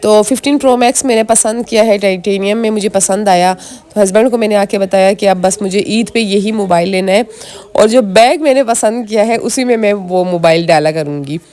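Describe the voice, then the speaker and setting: Hoarse pakistani-born woman in her 30s, chatty vlog talk to viewers, mid pitch. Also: a little fast, darkish tone. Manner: chatty, talks straight to viewers. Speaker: vlogger. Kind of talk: casual vlog narration.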